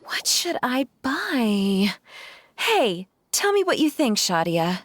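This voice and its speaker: A woman playing a cheerful young townswoman in a video game, with a breathy voice. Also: on the high side, big pitch swings, takes it slowly, dry recording, exaggerated voice. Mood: pleased.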